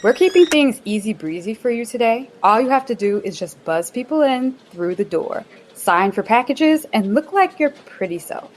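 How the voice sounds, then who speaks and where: An actress playing a bubbly, bossy office manager in a radio play, talking in a chirpy sing-song way. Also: female voice, a little high, lively intonation, moderate pace, few pauses, a little hiss or hum, natural voice. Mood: upbeat.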